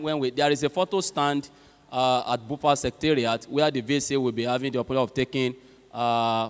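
A young Nigerian man with a Nigerian accent makes announcements at a church service in a large chapel, with many filler pauses, speaking into a handheld mic. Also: medium pitch, clean tone. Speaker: master of ceremonies. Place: large university chapel hall. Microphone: handheld mic at a lectern. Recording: long hall reverb with faint music.

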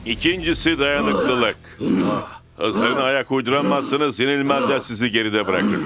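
An actor playing a stern, commanding football coach in a dubbed cartoon, firm and instructive. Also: male voice, medium pitch, measured pace, fairly bright tone.

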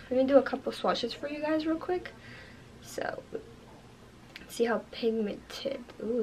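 A young woman in her twenties, a bit hoarse from losing her voice, chatting casually as she unboxes and reviews makeup at home, filling her talk with "like" and "you guys". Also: on the high side, a little mellow, slight background noise, rough recording. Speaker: beauty vlogger. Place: bedroom with makeup shelves, indoors.

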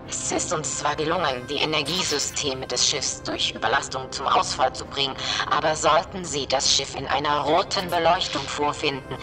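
Woman as grave scientist, formal measured report, radio drama, prominent music. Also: sits low. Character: grim female scientist reporting a failed experiment. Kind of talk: recorded log in sci-fi horror radio drama. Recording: loud music behind the voice.

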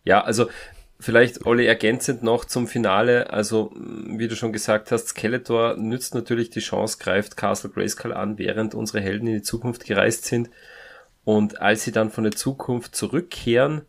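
A man co-hosting a casual review podcast, talking haltingly and repeating words as he thinks. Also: lowish voice, steady tone, creaky. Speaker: podcast co-host.

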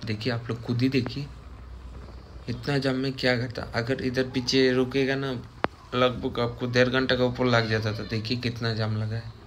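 A man with a fairly flat voice, the kind heard on a scooter vlog, repeating himself over faint traffic sounds. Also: mid-range voice, normal speed. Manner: repetitive, exclaiming at what he sees. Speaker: motovlogger. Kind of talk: casual running commentary while riding. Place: outdoors on a moving two-wheeler. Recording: faint road noise. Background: traffic and outdoor ambience.

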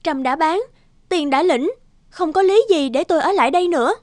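A woman voicing a slim young peasant woman, guarded and earnest, in an animated moral tale. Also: high-pitched, brisk, no room sound, clean studio sound, lightly acted. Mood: anxious.

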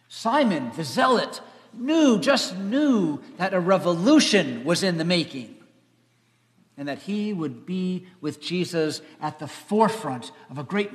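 A man in his fifties, a pastor preaching a dramatic storytelling sermon with emphatic phrasing. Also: sits high. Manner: emphatic, swelling and trailing phrases. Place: large church sanctuary, seated at front. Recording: echoey church hall, long reverb.

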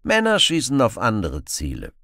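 A man voicing a sly heroic outlaw in an audiobook, composed and quietly provocative. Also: mid-range voice, wildly swooping pitch, moderate pace, no echo, clean studio sound, pitched above the performer's own voice, exaggerated voice. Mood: quietly provoking. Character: calm, sly heroic male outlaw, feigning innocence. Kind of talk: audiobook character acting.

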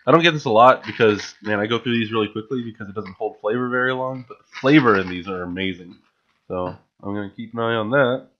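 A young man talks into a desk microphone in a small room at home, chatty and keen, with the odd restart. His voice sits on the lower side. The recording is dull and lo-fi. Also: fast, fairly bright tone. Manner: chatty, enthusiastic, with frequent filler restarts. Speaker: vlogger. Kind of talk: casual grocery haul vlog. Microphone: studio mic on a stand, wearing headphones.

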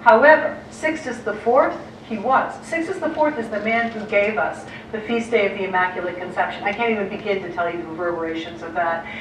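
An American woman art historian giving a lively illustrated lecture to clergy, with quick asides and acted-out quotes, heard from a distance in a large auditorium. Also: mid-range voice. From United States. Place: large dim auditorium with projector screen. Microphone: distant room mic, speaker at lectern far from camera. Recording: echoey hall from far off, faint hiss.

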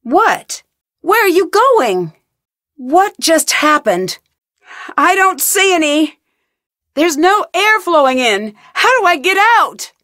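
A woman playing a young, inquisitive and determined female amateur detective in a video game, speaking her scripted lines clearly. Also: very high voice, big pitch swings, slow pace, a bit bright, dry recording, clean studio sound, exaggerated voice. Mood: alarmed. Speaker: voice actress in a detective adventure game.

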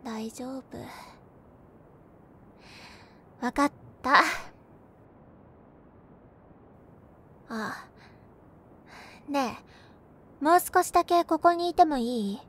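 A young woman playing a gentle, cheerful girlfriend who comforts her overworked boyfriend in an intimate audio roleplay, with a soft, playful manner. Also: high voice, expressive, unhurried. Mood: gentle, concerned. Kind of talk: Japanese binaural situation-voice roleplay, spoken to the listener.

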